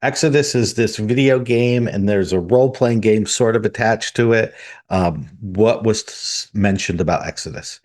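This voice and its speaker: A man with a lowish voice steers a podcast news segment. He sets up each topic plainly and hands it over to his co-host with a question. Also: normal speed, darkish tone. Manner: leads the segment with short prompting questions. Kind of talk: casual podcast segment hosting.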